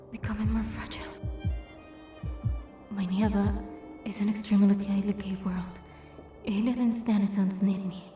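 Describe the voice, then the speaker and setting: Female actor as a proud goddess, mellow dark tone, mid pitch, solemn storytelling, game narration, soft music behind. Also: lightly acted. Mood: pained. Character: wounded goddess narrating her suffering with pride. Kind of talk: dramatic scripted narration in a game.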